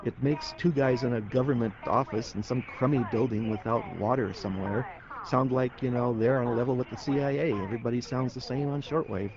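Man, casual interview talk, fry in the voice, wry, dull audio. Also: mid-range voice, a little fast. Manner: wry, colloquial. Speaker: interviewee, writer. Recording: muffled, with crowd-like noise behind.

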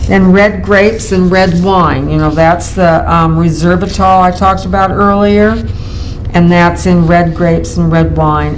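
A woman's voice: fairly low pitch, slight background noise, poor audio. An older woman hosting a local TV health show, chatting casually while reading from notes, with frequent ums and restarts. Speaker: host. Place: TV studio in front of a virtual set.

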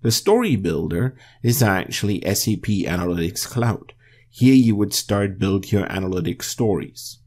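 A man presenting a software tutorial as screencast narration, explaining step by step in a measured way. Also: on the low side.

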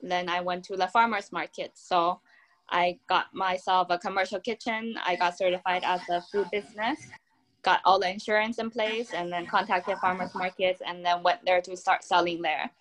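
Young woman brand founder on a video call, lowish voice, upbeat and explanatory. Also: little pitch movement, normal speed, fairly bright tone, low-quality recording. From Taiwan. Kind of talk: video call panel answer. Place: home room.

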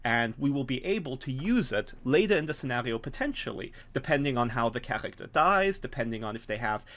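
Middle-aged male game master, medium pitch, explanatory narration over a video call. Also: animated, a little fast, fairly bright tone. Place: small home room, video call. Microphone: video call from a desk.

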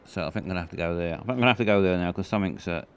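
A man's voice: low voice, rapid-fire, a little hiss or hum. A man streaming live, rambling in a dry, self-mocking way while reading out viewers' chat. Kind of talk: casual live-stream commentary.

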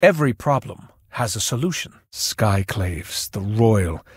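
A man's voice: lowish voice, very animated, measured pace, breathy edge, no room sound, studio quality, full character voice. A young man playing a thoughtful, cerebral young mage in a video game, earnest and self-doubting, with an introspective, wry delivery. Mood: pensive. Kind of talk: video game character voice lines.

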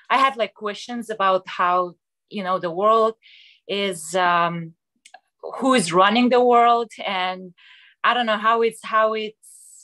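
Bright-voiced young woman on a video call. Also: mid-range voice. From Kazakhstan. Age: young adult. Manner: halting, many fillers and restarts. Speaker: guest sharing her story. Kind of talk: casual personal story on a video call. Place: small room at home, indoors. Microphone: laptop webcam mic on video call.

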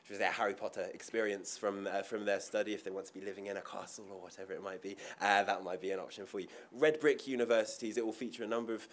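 A young man talks fast at a podium mic in a conference hall, his voice on the low side. His delivery is informal, with frequent ums and quick asides. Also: fairly even intonation. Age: young adult. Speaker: university international officer. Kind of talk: conference presentation.

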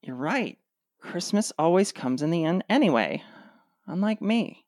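A woman playing a blunt, snarky sitcom sibling in a comic radio play, with deadpan sarcasm. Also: fairly low pitch, unhurried, no echo, studio quality. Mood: dry, sarcastic.

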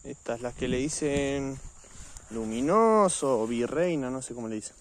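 A man's voice: medium pitch, animated, moderate pace. A man with an Argentine accent casually narrating an outdoor fishing vlog, chatting informally to his viewers. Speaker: fishing vlogger. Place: outdoors on a riverbank.

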